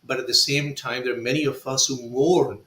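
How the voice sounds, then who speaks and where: Man with a bright, crisp voice, echoey. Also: mid-range voice, steady tone. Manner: measured, courteous. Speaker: guest. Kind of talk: conversational interview answers. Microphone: video call.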